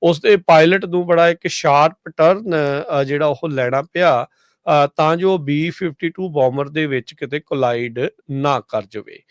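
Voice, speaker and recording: A man with a Punjabi accent presenting a radio news report in a conversational, halting way with frequent hesitations. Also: fairly high pitch, dry recording, studio quality.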